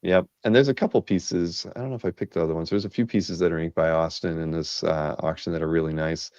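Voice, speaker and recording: A man hosting a casual video-call chat about art, rambling and enthusiastic with lots of fillers, a bit hoarse from a cold. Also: deep voice, fairly even intonation, fast, a little mellow, no room sound, lo-fi sound. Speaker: podcast host. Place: home office, video call.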